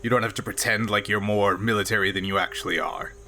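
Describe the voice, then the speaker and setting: A man's voice: lowish voice, fairly even intonation, fast talker, pushed and bright, a little hiss or hum. A man voicing a jolly, proud older Klingon mine boss in a tabletop game, speaking in a blustery, emphatic way. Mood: amused, frank.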